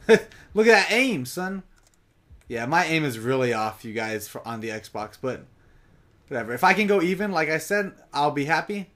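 A young man giving casual live commentary over his own gameplay, talking straight to his viewers, his voice a bit raspy from long sessions. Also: fairly high pitch, sing-song, moderate pace, fairly bright tone. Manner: chatty, casual, addresses viewers directly.

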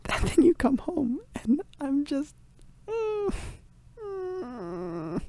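A young woman, crying, speaking softly and haltingly in a girlfriend comfort roleplay, apologizing to the listener between whispers. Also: high-pitched, sing-song. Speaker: audio roleplay performer.

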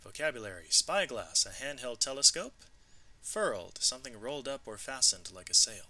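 Male read-aloud narrator, mid pitch, very bright sharp voice, expressive storybook and lesson delivery. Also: normal speed. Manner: expressive, clearly emphasised reading. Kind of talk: educational storybook narration with vocabulary asides.

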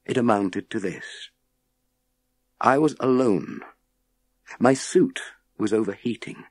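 World-weary male ex-astronaut acted by a male reader: wry authority, intense, unhurried, low-set voice, audiobook drama. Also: dry recording.

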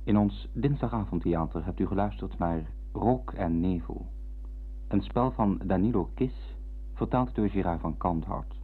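A man's voice: low-pitched, a little slow, slightly rough. A man working as a radio announcer, reading scripted closing credits in a formal style. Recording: muffled, lo-fi old radio recording.

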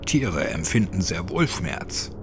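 Man voicing a low, subdued, appalled gentleman. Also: sing-song, slow pace, noisy background, exaggerated voice. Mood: indignant. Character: shaken, refined man, protesting and morally appalled. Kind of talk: horror audiobook character voice.

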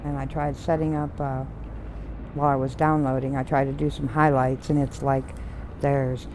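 A middle-aged woman streaming a game from home on a desk mic, talking softly in meandering, complaining asides. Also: rumbling low, level tone, normal speed, slight background noise, poor audio. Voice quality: soft voice. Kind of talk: rambling casual commentary. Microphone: desk mic with headphones. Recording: loud game music behind voice. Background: game music.

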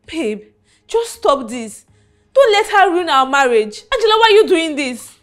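A woman's voice: on the high side, big pitch swings, normal speed, soft background music. An actress playing a devoted wife who pleads with and confronts her husband, often repeating herself. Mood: pleading.

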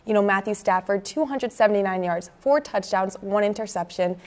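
A young woman with an American accent gives conversational sports commentary as a co-host. Her pitch sits in the middle for a woman, and she talks at a studio desk into a lapel mic. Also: fairly quick, darkish tone. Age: young adult, early 20s. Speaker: student sports show co-host. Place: indoor campus TV studio at a desk.

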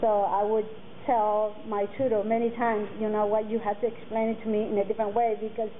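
A woman in her forties, a native Mixtec speaker, speaking earnestly to students and halting often with fillers and restarts. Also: mid-range voice, flat delivery. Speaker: guest speaker. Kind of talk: personal talk to students. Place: classroom with curtains, indoors. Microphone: table mic on a stand. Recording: tinny phone-like sound, faint noise.

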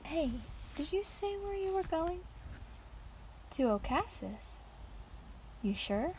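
Woman playing a soft-spoken, absent-minded part-dragon traveler. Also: very high voice, big pitch swings, slow pace, voice pushed up, heavily acted. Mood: casual, musing. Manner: soft-spoken, chatty, musing. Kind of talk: ASMR roleplay. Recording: faint noise, clicking sounds, outdoors.

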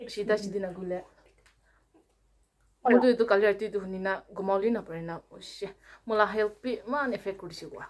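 Young female vlogger, mid-pitched voice, casual phone-prank conversation, recorded close on a phone at home. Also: normal speed, long pauses. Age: young adult. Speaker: vlogger making prank calls. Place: small room at home, indoors.

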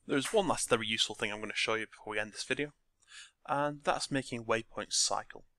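A man with a croaky voice talking through a game scripting tutorial step by step from his desk. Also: medium pitch, brisk, no echo. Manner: relaxed step-by-step explaining. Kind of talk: screen-recorded tutorial narration.

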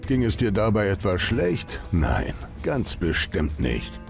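A man's voice: fairly low pitch, big pitch swings, slow pace, vocal fry, soft background music, heavily acted. An actor playing a domineering, mocking male gang boss in a video game, sneering and dismissive. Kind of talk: dramatic video game acting.

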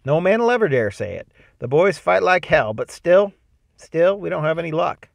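A man's voice: a little high, sing-song, moderate pace, vocal fry, no room sound, voice pushed up. A man voicing a weary young male soldier who insists that his comrades fight hard. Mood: firm. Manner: sighing, then firm and insistent.

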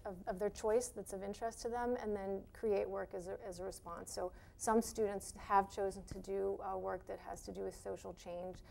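Middle aged woman teacher, flat voice w some fry, slow careful answers, lots of um. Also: mid-range voice. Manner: measured, hesitant with "um" and "uh". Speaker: art instructor guest. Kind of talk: interview answers. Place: TV studio set.

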